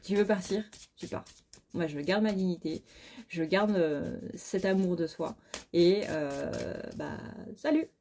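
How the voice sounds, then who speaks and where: Femme tireuse de cartes, voix douce et fatiguée. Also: female voice, on the low side, animated, a little slow, warm tone, slight background noise. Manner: informal, blunt, with mild swearing. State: very tired, short of sleep. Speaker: tarot card reader. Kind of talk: casual, chatty tarot reading.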